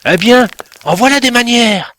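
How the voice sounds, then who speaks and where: Man voicing a small, high-pitched talking pebble. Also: animated, measured pace, bright, forceful tone, slight background noise, heavily acted. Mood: brisk. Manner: scolding then eager.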